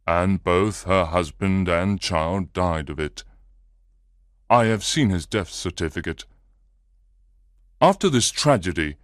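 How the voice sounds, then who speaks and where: Rich: A troubled husband from a storybook, earnest and anxious as he lays out his story, as a man reads him. His voice sits fairly low and the sound is close and dry. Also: dry recording. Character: troubled, upset married businessman.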